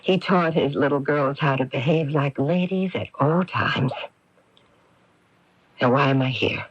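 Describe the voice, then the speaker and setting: An actress playing a bitter middle-aged woman who is coolly threatening, sardonic and deliberate, in a radio mystery drama. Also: low voice, slightly rough, no room sound. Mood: sardonic, composed. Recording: dull, lo-fi 1980s radio broadcast.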